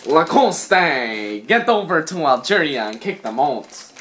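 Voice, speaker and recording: A man's voice: fairly high pitch, sing-song, slow pace, a bit bright, a little hiss or hum. A young man narrating a scripted student history puppet show, with wry, theatrical asides. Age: young adult.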